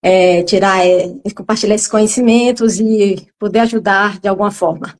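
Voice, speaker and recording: A woman's voice: medium pitch, steady tone, normal speed. Polite woman nutritionist guest. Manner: modest, polite, with many fillers. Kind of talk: live stream interview.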